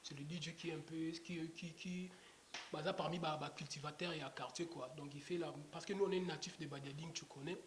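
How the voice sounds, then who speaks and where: Young rapper in an echoey room. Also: male voice, fairly high pitch, steady tone, a little fast. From Democratic Republic of the Congo. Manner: rambling, many restarts and fillers. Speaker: rapper guest. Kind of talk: casual interview. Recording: echoey room with clear background noise.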